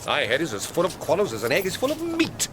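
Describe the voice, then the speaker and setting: An actor playing a mocking, quick-witted, hot-headed young nobleman in a British accent, in a theatrical Shakespearean radio drama. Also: fairly high pitch, wildly swooping pitch, background noise. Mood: teasing, mocking. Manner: theatrical, teasing, emphatic.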